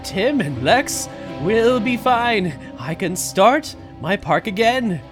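A man's voice: sits high, very animated, slow, over music, full character voice. A man voicing an elderly male park founder, anxious yet hopeful, with a pleading, wavering delivery, in a fan audio drama. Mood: reassuring. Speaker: voice actor.

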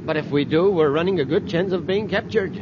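An actor playing a nervous, scheming fugitive crook with an agitated, urgent manner in a 1950s radio space serial. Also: male voice, a little high, brisk, lightly acted. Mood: calculating. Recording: muffled, noisy 1950s radio recording.

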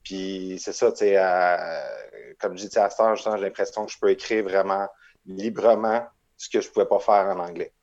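A man's voice: low-pitched, level tone, moderate pace, darkish tone, lo-fi sound. A man with a Québécois accent, a musician guest reflecting earnestly in a casual interview.

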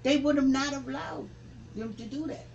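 A man in his seventies, a reverend, recalling the past in an interview with a preaching cadence that rises to forceful emphasis. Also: very high-pitched, moderate pace, vocal fry, lo-fi sound, a little hiss or hum. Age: 70s. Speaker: reverend, civil rights veteran. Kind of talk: interview reminiscence.

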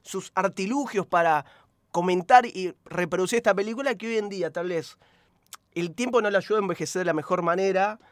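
A man's voice: sits high, brisk, no echo, studio quality. A man with a Rioplatense Argentine accent hosting a casual film podcast, chatty and joking, often laughing. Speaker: podcast host. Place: studio.

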